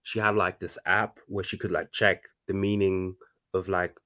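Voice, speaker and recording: A man's voice: sits low, level tone, normal speed, sharp tone. A man in his thirties from Congo, a fashion designer talking casually and animatedly as a guest, with lots of restarts. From Congo (DRC). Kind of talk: casual conversation.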